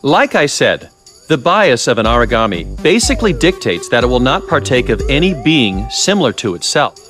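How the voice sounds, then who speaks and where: An actor playing a clever, genial scientist in a video game, chatting and lecturing in an unruffled way. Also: male voice, medium pitch, very animated, normal speed, over music, natural voice. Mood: intrigued, explaining. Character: clever, genial, sly male scientist doctor. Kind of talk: English video game voice acting.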